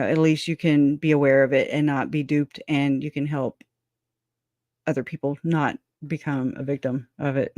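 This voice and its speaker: A woman's voice: sits low, a little mellow, no echo, studio quality. A woman co-hosting a live stream in casual, opinionated chat. She swears freely, laughs often and acts out her own reactions.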